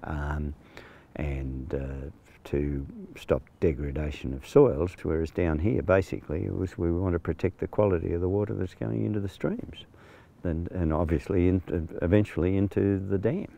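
A man in his sixties with an Australian accent, a farm manager talking plainly outdoors, with many pauses and fillers. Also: deep voice, steady tone, gravelly, warm tone. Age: 60s. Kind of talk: on-location interview. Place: outdoors on a rural farm paddock.

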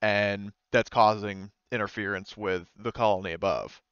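A man improvising tabletop game narration as game master, explaining in a hesitant, halting way. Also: lowish voice, steady tone, fairly bright tone, clean tone, no room sound, lo-fi sound.

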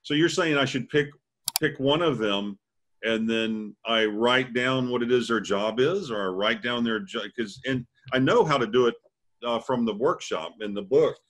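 A man's voice: mid-range voice, normal speed, fairly bright tone. A middle-aged man casually asking questions as the host of a video-call interview. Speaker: interviewer, business consultant host.

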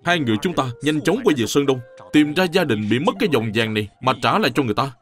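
An actor playing a principled young scholar who speaks formally and moralizes sternly, in an animated drama. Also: male voice, mid-range voice, quick pace, soft background music, lightly acted.

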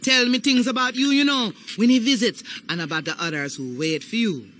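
Woman playing a sly, bright-voiced Caribbean auntie. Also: on the high side, fairly quick, a little hiss or hum, close to an everyday voice. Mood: eerie, teasing. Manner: coaxing, ominous, dialect phrasing. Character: sly older Haitian auntie, voodoo matriarch. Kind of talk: video game cutscene acting.

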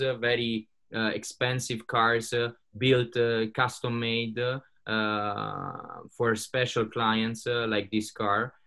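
A man's voice: mid-range voice, fairly bright tone. A man on a video call, speaking hesitantly with many fillers but enthusiastically about classic cars on a panel.

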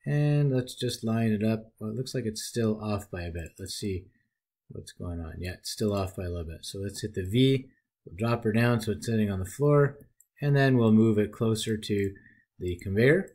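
Low-voiced man narrating a software walkthrough. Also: moderate pace. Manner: relaxed, folksy narration of each click. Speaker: software tutorial presenter. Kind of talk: screen-recorded software walkthrough.

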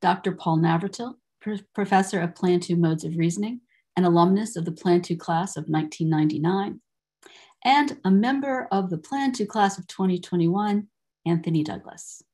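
An older woman delivering a formal, prepared commencement address in measured, deliberate phrases, speaking as the presiding academic on a video call. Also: lowish voice, darkish tone, husky. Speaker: university program director presiding over a ceremony.

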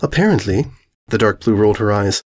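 A man's voice: medium pitch, expressive, fairly quick. A man narrating comic fantasy fiction as a scripted dramatic reading.

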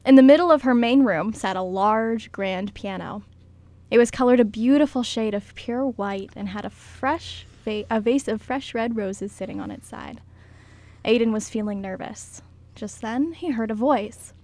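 A woman's voice: fairly high pitch, moderate pace, dark tone. A woman reading scripted narration for a radio play as its narrator.

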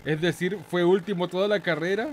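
Man, Chilean accent, fairly high voice, playful gamer commentary, slangy exclamations, some background game noise. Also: steady tone, fairly quick. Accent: Chilean Spanish. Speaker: gaming YouTuber, host. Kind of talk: casual excitable let's play commentary.

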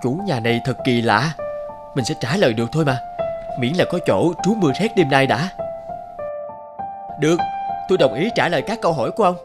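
A man plays a young travelling scholar in a cartoon fairy tale, polite, wary and put-upon. His expressive, fairly high voice rises and falls widely over loud music. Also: fairly quick, long pauses, close to an everyday voice. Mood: polite, eager.

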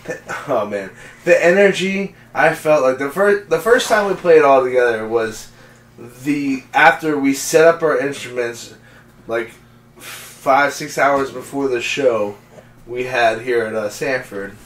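A man recounts news in eager, halting bursts with frequent restarts. He is recorded from a distance. The small room adds a clear echo. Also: medium pitch. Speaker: guest musician. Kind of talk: casual chat. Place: small home den, indoors. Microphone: far camera mic. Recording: echoey room from far off.

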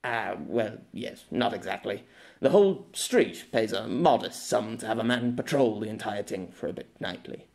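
Man doing a dry, grumpy older gentleman. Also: fairly low pitch, normal speed, gravelly. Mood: flustered. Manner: dry, flat, grumbling.

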